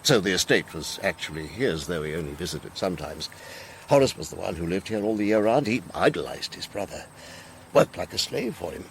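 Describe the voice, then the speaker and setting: A man's voice: low voice, expressive, a little fast, creaky, slight background noise. An actor playing a thoughtful, suspicious man in a radio drama, conversational but pointed as he speaks. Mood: sombre, explanatory. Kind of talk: naturalistic radio drama acting.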